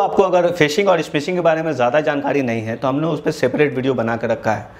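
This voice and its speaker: A man's voice: a little high, a little mellow. An Indian-accented man presenting an educational tech video, explaining things step by step and mixing in English terms. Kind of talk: explanatory tutorial narration.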